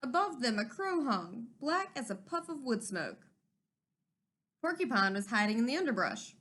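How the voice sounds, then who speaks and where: A woman reading a children's storybook aloud as a teacher would, in an expressive narrating style. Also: a little high, moderate pace.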